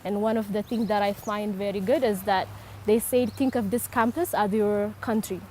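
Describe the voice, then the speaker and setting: A woman's voice: mid-range voice, steady tone, moderate pace, a little mellow, a little hiss or hum. Thoughtful woman explaining casually. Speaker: workshop participant. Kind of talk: casual interview.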